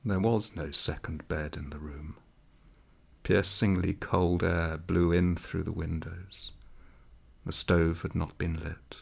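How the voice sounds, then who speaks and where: A man's voice: deep voice, flat delivery, slow pace, creaky, fairly bright tone, slightly rough. A man reading a literary story aloud in a dramatic manner. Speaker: audiobook reader.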